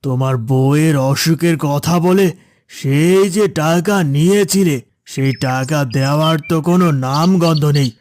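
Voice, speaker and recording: A man's voice: on the high side, a little slow, darkish tone, dry recording, close to an everyday voice. A man voicing a pushy, scheming village headman in a cartoon, domineering and wheedling by turns. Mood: pressing, smug. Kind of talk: Bengali cartoon voice acting.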